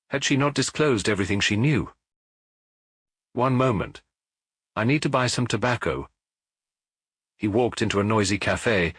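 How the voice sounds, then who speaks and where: A man's voice: lowish voice, fairly bright tone, husky, no echo. A man reading a detective story as an audiobook narrator.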